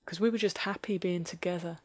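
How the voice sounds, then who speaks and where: A woman's voice: high voice, very animated, brisk, fairly bright tone. An actress playing an emotional young mother, frantic, tearful and at times whispering. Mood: wistful. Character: distressed young working-class mother. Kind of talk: dramatic acting showreel.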